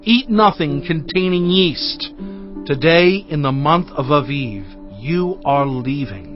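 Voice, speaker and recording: An earnest prophet leading his people in a biblical audio drama. He declaims in a fairly high male voice, instructing with conviction. The recording is a little muffled, over a music bed. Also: expressive, slow pace. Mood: fervent, instructing. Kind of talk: dramatized scripture reading.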